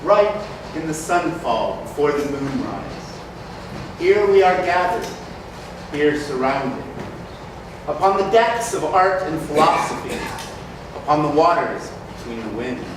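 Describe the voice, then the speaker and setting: A man plays a reverent stage poet reciting lyrical wedding verse. He is unhurried and fairly high in pitch. The recording is distant, with heavy hall echo. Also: expressive. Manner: lyrical, measured, declamatory. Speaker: actor in a staged reading. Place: large community hall. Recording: echoey hall, distant mic, noisy.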